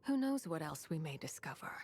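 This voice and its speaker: A woman's voice: low voice, very animated, fairly quick, darkish tone. A woman playing a cool, curious and composed traveller in a video game. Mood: curious, dry. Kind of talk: video game character acting. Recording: background music.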